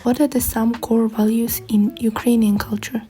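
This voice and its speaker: A woman's voice: a little high, little pitch movement, moderate pace, mellow, a little hiss or hum. A woman interviewer asking short, direct questions about background and culture.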